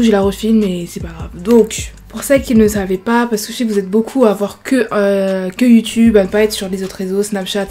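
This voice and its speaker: A woman's voice: mid-range voice, warm tone, a little hiss or hum. A woman in her early twenties telling her story in a chatty storytime vlog, full of fillers. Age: 20s. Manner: chatty, many fillers like genre and voilà. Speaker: YouTuber.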